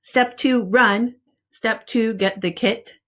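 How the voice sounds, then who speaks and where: A woman's voice: a little high, takes it slowly. Woman reading a children's picture book aloud. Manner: expressive storytelling, addressing young listeners directly. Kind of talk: children's read-aloud with casual asides.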